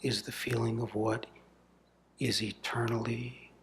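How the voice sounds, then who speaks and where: A man speaks very slowly in a medium-pitched voice, as a spiritual teacher guiding a meditation. His phrases are short and deliberate, with long silences between them. The delivery is soft and breathy, often fading toward a whisper, over faint background noise. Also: level tone. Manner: slow, deliberate, measured phrases with long pauses. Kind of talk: guided meditation, contemplative satsang talk.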